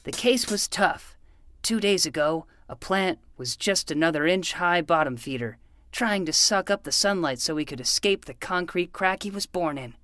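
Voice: medium pitch, expressive, moderate pace, pushed and bright. An actor playing an earnest twelve-year-old boy who talks like a hard-boiled private eye in a fiction podcast. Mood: brooding, self-serious. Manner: self-serious detective patter. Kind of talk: fiction podcast acting with noir narration.

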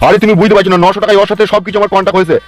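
A man's voice: high-pitched, level tone, rapid-fire, faint music behind, lightly acted. A man voicing a stingy, grumbling bridegroom in a comic cartoon, complaining and argumentative.

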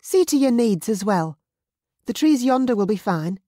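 Narrator putting on a sharp-tongued pregnant noblewoman. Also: fairly high pitch, lively intonation, moderate pace, no room sound, studio quality, pitched above the performer's own voice. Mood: defiant. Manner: defiant, snappish.

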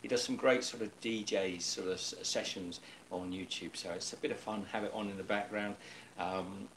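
Man, middle-aged, host, low voice, chatty, restarts a lot, kitchen webcam, faint hum behind. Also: fairly even intonation, moderate pace, a bit bright, low-quality recording. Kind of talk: casual live stream chat. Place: home kitchen indoors. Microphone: webcam on table.

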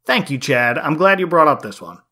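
Bright-voiced man hosting a podcast. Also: on the high side, big pitch swings, brisk. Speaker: podcast host. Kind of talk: casual podcast chat.

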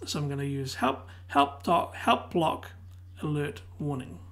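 Hoarse tutor explaining step by step. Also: mid-range voice, big pitch swings, measured pace, fairly bright tone. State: losing voice, clearing throat. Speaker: web design tutor. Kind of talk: screen-recorded software tutorial.

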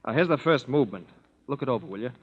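A man's voice: medium pitch, lively intonation, rapid-fire, husky. An actor playing a friendly, earnest young male composer in a 1930s radio play, easygoing and polite. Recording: dull old radio broadcast with long hall reverb.

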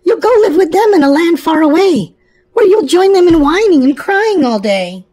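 A woman's voice: very high-pitched, expressive, moderate pace, mellow, pitched above the performer's own voice. A woman voicing a stern, scolding mother rabbit in a storybook, firm and lecturing. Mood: warning.

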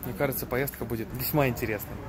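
A young man narrating a casual travel vlog into a phone held at arm's length, talking in a relaxed, slangy way. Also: medium pitch, quick pace, a loud crowd. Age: young adult. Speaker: travel vlogger. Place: outdoors in a city and on a ferry.

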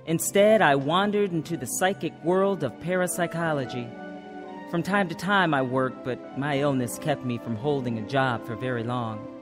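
A woman's voice: sits low, normal speed, natural voice. An American actress playing an earnest, troubled woman who recounts her life confessionally in a radio drama. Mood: reflective. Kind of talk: radio drama narration and dialogue. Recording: radio drama with music underneath.

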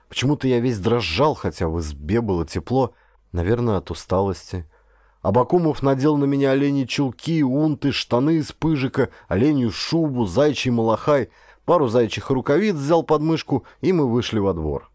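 A man's voice: medium pitch, darkish tone, no echo, studio quality. A man reading a first-person adventure story as an audiobook narrator, in a scripted literary style.

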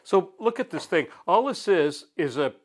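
A man in his sixties hosting a woodworking channel, explaining his workbench tricks in a relaxed, chatty way with frequent chuckles, recorded in his home workshop. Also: high-pitched, normal speed. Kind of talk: casual workshop demonstration. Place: home woodworking shop, indoors.

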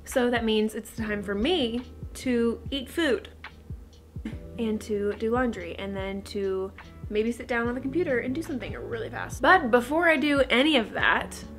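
A young woman vlogging at home, chatting quickly and cheerfully straight to the camera about her day. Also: a little high, faint music behind. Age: young adult. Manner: bubbly, fast, talks straight to camera. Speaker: lifestyle vlogger. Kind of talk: casual chatty vlog talk. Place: bright home kitchen and living room.

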